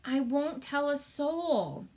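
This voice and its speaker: A woman's voice: sits high, lively intonation, slow, darkish tone, pitched above the performer's own voice. A woman voicing a small, curious storybook girl, insistent and full of questions. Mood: pleading. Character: small, curious, pleading, bold young girl.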